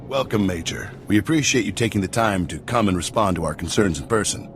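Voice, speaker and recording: An actor playing an even-handed senate chairman, courteous and official, in a video game. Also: low voice, fairly quick. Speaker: chair of a hearing. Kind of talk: video game voice acting, formal proceedings. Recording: music behind the voice.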